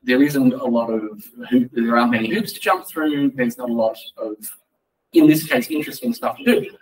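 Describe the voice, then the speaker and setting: A man's voice: medium pitch, animated, moderate pace. Man giving a talk, distant mic. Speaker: conference speaker. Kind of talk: informal tech meetup talk with audience questions. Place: indoor meeting room, speaking at a lectern. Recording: distant mic in a meeting room.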